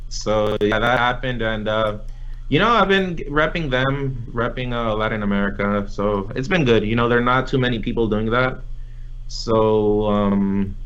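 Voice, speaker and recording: A man's voice: on the low side, normal speed, a bit bright, clear, resonant tone. A young man from Nicaragua, an interview guest, telling his story in a casual, rambling way with many fillers and restarts. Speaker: interview guest, competitive gamer. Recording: slightly dull lo-fi audio.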